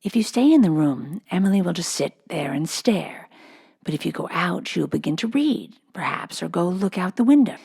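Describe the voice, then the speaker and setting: A woman as an earnest, breathy little girl. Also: fairly low pitch, lively intonation, moderate pace, dark tone, full character voice. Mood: confiding. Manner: earnest, whimsical. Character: imaginative, serious little girl confiding about her doll.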